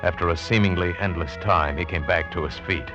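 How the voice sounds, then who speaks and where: Hunted castaway, very low voice, tense dramatic narrator for vintage radio thriller, music underneath. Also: male voice. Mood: tense, dread. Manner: dramatic, intense, deliberate storytelling. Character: hunted male castaway, tense, desperate, narrating his ordeal. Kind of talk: 1940s radio drama first-person narration. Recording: dull vintage 1940s radio recording.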